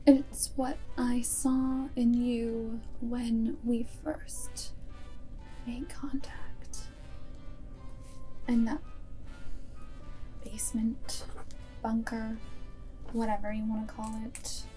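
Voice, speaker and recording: A woman playing a guarded but secretly fond vampire girlfriend and wartime spy in a soft, whispered ASMR roleplay, speaking deliberately close to the microphone. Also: a little high, level tone, soft background music, low-quality recording. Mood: reproachful, fond. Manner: hushed, deliberate, often dropping into whisper.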